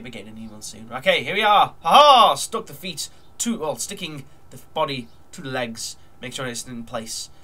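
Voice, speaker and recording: A man's voice: medium pitch, bright, forceful tone. Nasal, bunged-up man rambling. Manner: rambling, chatty, lots of ums and uhs. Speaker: hobby model builder vlogger. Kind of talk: casual narration of a build. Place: at his desk at home.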